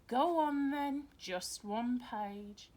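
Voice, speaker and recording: A woman's voice: fairly high pitch, very slow, a little mellow, pitched above the performer's own voice. A woman voicing a weary, firm mother in a children's storybook, exasperated and emphatic. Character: weary, firm mother elephant wanting peace.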